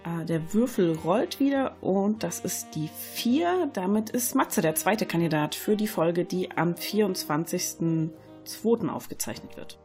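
A woman's voice: lowish voice, animated, unhurried, mellow, faint music behind. A woman co-hosting a podcast, speaking casually as she explains and announces things. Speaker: podcast co-host. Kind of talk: casual chat, announcing contest details.

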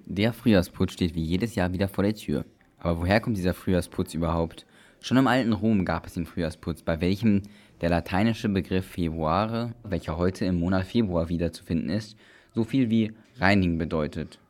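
A man with a deep, low voice reads a scripted report for youth radio. He narrates it as an explainer for listeners. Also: moderate pace, darkish tone.

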